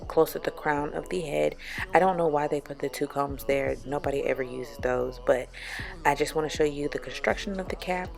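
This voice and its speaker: Young woman vlogger explaining step by step, raspy from being sick, low gravelly voice. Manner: steady explaining, step-by-step with fillers. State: raspy from recovering illness. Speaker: beauty vlogger. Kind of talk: wig review tutorial.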